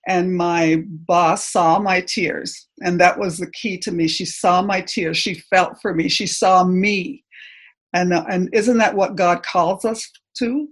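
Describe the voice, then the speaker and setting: A middle-aged woman from Canada with no accent, giving a personal testimony in church, hesitant and full of pauses and ums. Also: fairly low pitch, fairly even intonation, breathy edge. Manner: hesitant, halting, many ums.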